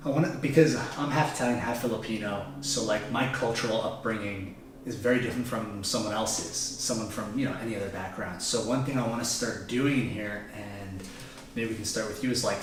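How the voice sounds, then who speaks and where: A man's voice: lowish voice, slight background noise. Young male podcast host asking long questions. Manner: friendly, encouraging, long run-on questions. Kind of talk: casual interview. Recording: echoey room, mic at a distance.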